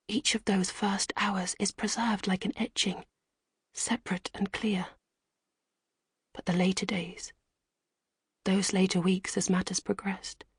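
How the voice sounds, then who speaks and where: A haunted woman from 1640s England voiced by a female narrator confides her story. Her voice is lowish and very husky, often close to a whisper, with measured phrasing in a dry audiobook recording. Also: no room sound. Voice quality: hushed, whispery, breathy. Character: haunted Englishwoman of the 1640s recounting her troubles. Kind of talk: first-person audiobook narration.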